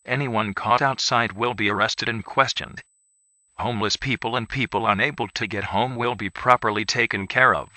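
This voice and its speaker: A man reading a scripted emergency announcement in a formal, impersonal, flatly official manner. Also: lowish voice, normal speed, no room sound.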